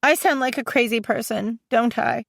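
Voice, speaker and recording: A woman's voice: a little high, normal speed, gravelly, no room sound, studio quality, voice pushed up. A woman voicing a fiercely protective college-age sister in an audiobook, emotional and exasperated, with an emphatic, pleading delivery. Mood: agitated, venting. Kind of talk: dramatised audiobook dialogue.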